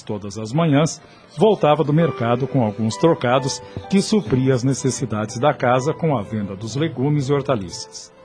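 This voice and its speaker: A man's voice: medium pitch, a little mellow. Solemn male radio narrator over music. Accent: Brazilian. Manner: solemn, formal storytelling. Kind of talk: scripted dramatic narration. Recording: music bed under the voice.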